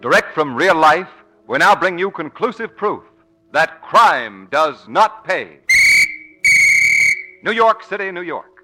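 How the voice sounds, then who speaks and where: A man's voice: fairly high pitch, sing-song, slow pace, loud music behind the voice, rough recording. A man with an American accent announcing a 1940s radio show from a script.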